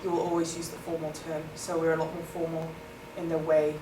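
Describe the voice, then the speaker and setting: South African woman with low voice, echoey. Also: monotone, a little fast. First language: Afrikaans. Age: young adult. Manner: thoughtful, explanatory, with many ums. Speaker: interviewee, international student. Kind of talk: interview answers. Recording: echoey room, far from the mic, noisy.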